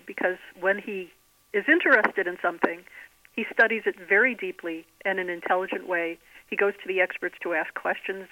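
A woman speaking as an author guest in an interview, explaining things articulately in measured phrases. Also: medium pitch, pushed and bright, dry recording, rough recording. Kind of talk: interview conversation.

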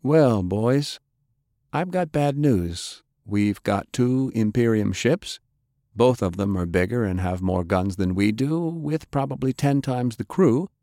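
A man voicing a resolute, calm pirate airship captain in an audiobook, speaking in a slow, measured, rallying way. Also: mid-range voice, dry recording, clean studio sound, voice pushed up. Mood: grim, frank. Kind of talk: audiobook character acting.

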